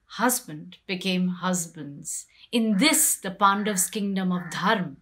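Wronged queen and wife, played by a middle-aged woman, bitter and grieving. Lowish voice, very unhurried poetic monologue, hushed in places. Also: a little hiss or hum. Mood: solemn, bitter. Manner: measured, deliberate, with pauses and hushed phrases. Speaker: poetry reciter. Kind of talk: dramatic poetry recitation. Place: small plain room indoors.

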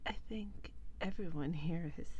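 A woman playing a protective, possessive female vampire in an ASMR roleplay, whispery and breathy, speaking with sly, deliberate courtesy. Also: on the low side, a little mellow, lo-fi sound, slight background noise, natural voice. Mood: silky, courtly.

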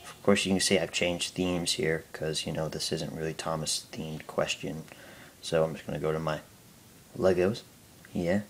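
A young man answering viewer questions in a casual home video, talking haltingly with a stutter, many fillers and restarts. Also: very deep voice, level tone, a little hiss or hum. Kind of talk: casual Q&A talk to camera.

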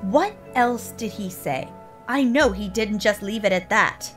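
A woman's voice: medium pitch, measured pace, a bit bright, soft background music, lightly acted. A woman voicing a curt, haughty, irritable businesslike pony mare in a cartoon comic dub. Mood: bitter. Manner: clipped, impatient, haughty.

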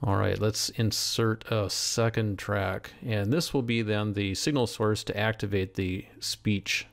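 A man giving a methodical, step-by-step software demonstration as a tutorial voice-over. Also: lowish voice, steady tone, a little slow, creaky. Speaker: tutorial instructor. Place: voice-over screen recording.

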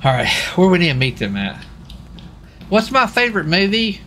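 A man's voice: high voice, wildly swooping pitch, normal speed, sharp tone. A man chatting casually as a game streamer, rambling and repeating himself in a folksy way. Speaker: live streamer playing a role-play game. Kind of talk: casual chat with viewers and players. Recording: clear background noise, vehicle sounds.

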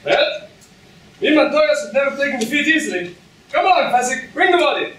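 Man as an intense, high-voiced vengeful swordsman. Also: big pitch swings, normal speed, a bit bright, a little hiss or hum, full character voice. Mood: urgent. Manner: earnest, dramatic. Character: passionate male swordsman seeking to avenge his father. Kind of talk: staged reading before an audience. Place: lecture hall stage, distant camera. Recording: echoey hall recorded from far off.

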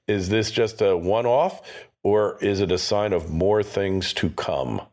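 Male podcast host, lowish voice, punchy delivery, rhetorical questions. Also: measured pace, darkish tone. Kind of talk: scripted show intro and interview questions.

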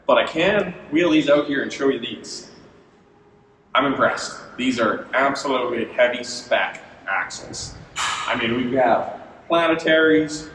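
A man in his thirties giving a relaxed, casual walkthrough of heavy truck axle parts, chuckling at himself and correcting his words as he goes. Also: mid-range voice. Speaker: vlogger touring a truck axle factory. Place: large glass-walled indoor lobby. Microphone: camera mic from a few feet away. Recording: echoey hall, distant mic, faint noise.

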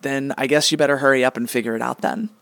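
A man's voice: mid-range voice, fairly even intonation, fast talker, gravelly, a bit bright, no room sound. A man playing a petulant, bossy star god with an indignant, peremptory manner in a comedic radio play.

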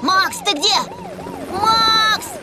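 Caring young female robot, very high, animated delivery, reassuring, for a children's cartoon. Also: very slow, full character voice. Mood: anxious. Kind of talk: Russian cartoon dub. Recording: music behind.

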